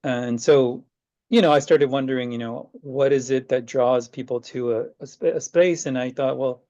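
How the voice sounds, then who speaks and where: A man's voice: medium pitch, fairly even intonation, moderate pace, mellow. A man interviewing for an oral history, asking questions in a hesitant, searching way. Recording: dull lo-fi sound.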